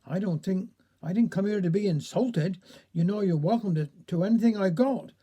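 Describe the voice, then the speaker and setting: A woman's voice: medium pitch, moderate pace, warm tone, slightly rough, close to an everyday voice. Woman playing touchy Cornish neighbour Missus James. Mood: offended.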